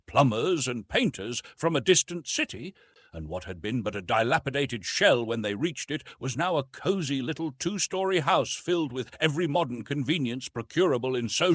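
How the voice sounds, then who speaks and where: A male audiobook narrator reads scripted fiction in a husky voice. His pitch moves in a lively way through the prose, and the recording is very dry and close. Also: no room sound.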